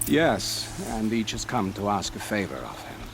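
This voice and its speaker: An actor playing a smooth, courtly royal adviser in a video game, speaking formally with wry insinuation. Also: male voice, lowish voice, sing-song, normal speed, faint music behind. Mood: suave, amused.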